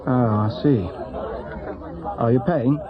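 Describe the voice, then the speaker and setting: An actor playing a wary, inquisitive pub regular in a naturalistic radio drama. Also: male voice, mid-range voice, slow, close to an everyday voice. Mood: wary, probing. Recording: muffled sound, pub crowd behind.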